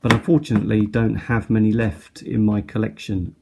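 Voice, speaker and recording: A middle-aged man giving a casual, unscripted product show-and-tell in a hesitant, halting way. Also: on the low side, little pitch movement, gravelly. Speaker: product reviewer. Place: indoors at a tabletop. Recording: noticeable background noise, handling clicks.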